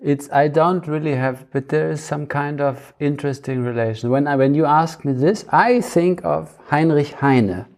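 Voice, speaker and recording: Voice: medium pitch, moderate pace, few pauses, darkish tone. A person in a casual intellectual conversation, hesitant and searching for words. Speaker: panel discussion participant.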